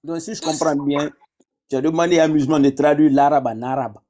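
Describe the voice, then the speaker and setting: A man on a live discussion, explaining step by step and reading passages aloud, his voice dropping low as he closes each point. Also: fairly even intonation, brisk, mellow. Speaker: debate panelist.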